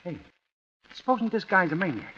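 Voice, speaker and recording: An actor playing a jittery, cowardly dinner guest with a whining, stammering, excitable delivery in an old-time radio drama. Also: male voice, high-pitched, fairly quick, slight background noise. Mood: panicky. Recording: muffled old radio recording.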